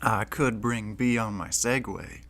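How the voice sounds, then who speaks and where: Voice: medium pitch, a little slow, gravelly, fairly bright tone. An actor playing a laid-back, flirty, pun-loving coworker in an audio drama. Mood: playful, flirty.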